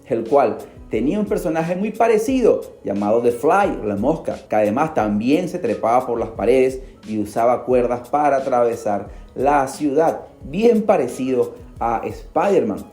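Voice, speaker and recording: A man hosts a podcast and narrates a story in a dramatic, animated way. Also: medium pitch, normal speed, hardly any pauses. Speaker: podcast host. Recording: roomy echo. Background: faint music.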